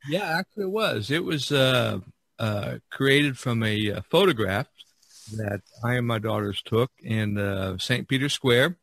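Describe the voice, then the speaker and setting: A middle-aged or older man, a painter and podcast host, talking casually about art in a halting, searching way. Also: medium pitch, expressive, creaky, fairly bright tone, dry recording.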